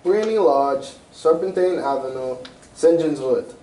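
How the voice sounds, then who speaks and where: An amateur actor, a man, playing an anxious, proud European king in a radio drama style, formal, grave and halting. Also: a little high, mellow, lightly acted. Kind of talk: 1940s-style radio mystery acting. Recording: roomy, distant stage recording with faint noise.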